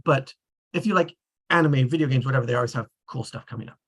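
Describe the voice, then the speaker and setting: A casual online host with a slightly rough, husky voice at a middling pitch. The delivery is informal and conversational, like someone steering a group read-through. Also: fast talker.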